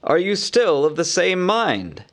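A man's voice: high voice, sing-song, a little slow, a bit bright, voice pushed up, full character voice. A man doing a firm, grave bargaining leader.

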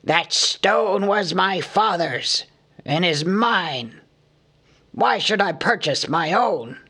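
A man's voice: fairly high pitch, lively intonation, a bit bright, pitched above the performer's own voice, full character voice. A man reading an audiobook, voicing a proud, stubborn older dwarf king with a grave, wrathful manner. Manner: grave and measured, growing furious.

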